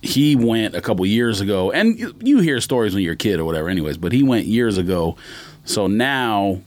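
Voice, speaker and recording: A man's voice: on the low side, sing-song, brisk. A man explaining things matter-of-factly in a casual podcast discussion.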